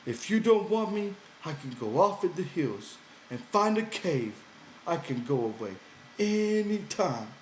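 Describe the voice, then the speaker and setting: Audiobook role: big childlike farmhand, high whiny pleading voice, ungrammatical country talk, roomy sound. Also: male voice, sing-song, measured pace, a little hiss or hum. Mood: sulky, crafty. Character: big childlike simple-minded man, eager, pleading ranch hand.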